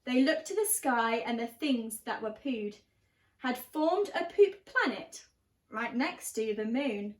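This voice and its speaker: Lady reading a kids picture book out loud, really slow n expressive, echoey room. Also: fairly high pitch. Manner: animated, dramatic emphasis with drawn-out key words. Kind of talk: expressive children's story read-aloud. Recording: roomy, echoing room sound.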